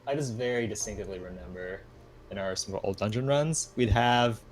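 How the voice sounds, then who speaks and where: A man's voice: lowish voice, brisk, over a noisy crowd. A young man chatting casually with friends as a co-player during a video game. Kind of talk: casual chat while gaming.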